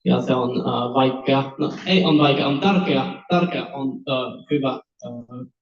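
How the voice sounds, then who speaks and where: A man's voice: medium pitch, a little slow. Male panel candidate, native French, French accent, candid and informal; muffled, distant roomy recording. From France. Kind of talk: informal spoken answers to panel questions. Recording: dull, echoey room, distant mic.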